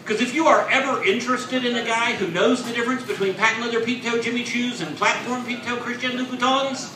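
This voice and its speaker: A man's voice: extremely high pitch, fairly even intonation, brisk, recorded from a distance, background noise. Man in his forties doing stand-up. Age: 40s. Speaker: stand-up comedian. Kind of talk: stand-up comedy set. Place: comedy club stage with live audience. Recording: echoey club, audience laughter.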